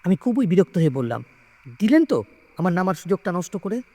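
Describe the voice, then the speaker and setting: A man's voice: high voice, sing-song, brisk, mellow, dry recording. Dramatic male storyteller. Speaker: storyteller, narrator. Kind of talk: scripted first-person horror story narration.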